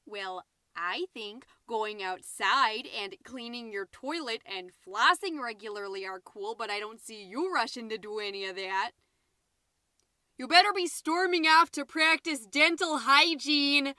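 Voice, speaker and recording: A woman's voice: on the high side, a little slow, bright, forceful tone, dry recording. A woman playing a chatty, scatterbrained, overexcited middle-aged suburban mom who hosts a comedy podcast, rambling, interrupting herself and stressing words theatrically. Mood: exasperated nagging. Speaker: podcast host. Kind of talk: comedic character monologue as a homemade podcast.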